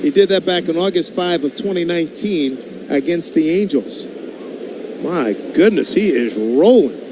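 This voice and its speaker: A man with a fairly high voice calls a baseball game live for radio. He speaks in short, colloquial phrases as he follows each pitch. There is clear outdoor ballpark noise behind him. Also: expressive, a little slow. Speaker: baseball play-by-play announcer. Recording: loud ballpark background noise. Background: outdoor stadium ambience.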